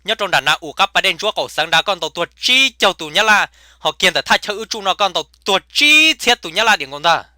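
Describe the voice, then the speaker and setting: A man voicing a comic male cartoon character who chatters in a rapid, emphatic way. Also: high voice, animated, pushed and bright, no room sound, clean studio sound, heavily acted. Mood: excited.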